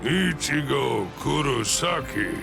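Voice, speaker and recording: A man's voice: mid-range voice, lively intonation, takes it slowly, heavily acted. A man playing a stern, serious captain in an anime game, speaking in a terse, grave and formal manner. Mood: grave, questioning. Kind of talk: anime game cutscene dialogue. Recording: dialogue over clear background music.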